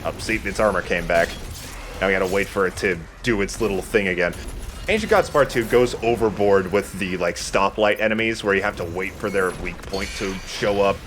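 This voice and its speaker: A man's voice: deep voice, brisk, a bit bright, slight background noise. A man giving casual commentary on a video game, with a dry, opinionated way of talking. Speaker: let's play host.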